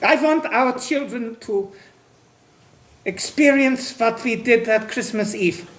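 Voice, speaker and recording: Actor playing a slow, wistful, devout man, echoey stage. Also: very high voice, fairly bright tone, exaggerated voice. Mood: nostalgic, tender. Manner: dreamy, measured, dramatic storytelling. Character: devout, wistful imprisoned German man in love, recalling his youth. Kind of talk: theatrical stage-play monologue and dialogue. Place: stage, recorded with room echo. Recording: echoey stage with background noise and clicks.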